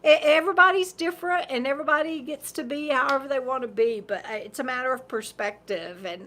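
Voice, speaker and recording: A woman's voice: high voice, quick pace, sharp tone, a little hiss or hum. A woman in her fifties hosting a casual livestream, reading viewer comments, rambling and laughing often, losing her voice. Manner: rambling, chatty, laughs often, repeats words. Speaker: livestream host.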